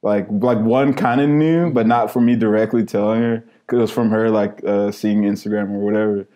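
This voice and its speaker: Laid-back storytelling guy, mellow voice. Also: on the low side, fairly even intonation, a little fast, highs cut off. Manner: loose storyteller, heavy on "like", laughs as he talks. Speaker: podcast guest. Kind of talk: casual chat.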